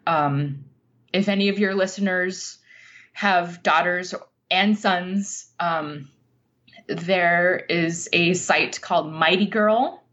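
Slow-talking American woman, slightly muffled. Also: on the low side, a bit bright. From Utah, United States. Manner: thoughtful, halting, searching for words. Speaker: podcast guest, nonfiction writer. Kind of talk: reflective interview conversation.

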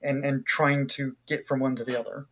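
A man's voice: mid-range voice, little pitch movement, fairly quick, fairly bright tone, husky, dull audio. A man from New Zealand with a New Zealand accent, an author talking casually in an interview, thoughtful and full of fillers and restarts.

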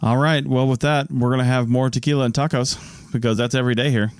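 A man's voice: medium pitch, fairly even intonation, quick pace, smooth, no room sound. A man co-hosting a podcast, easygoing in chat and reading the show outro. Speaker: podcast co-host.

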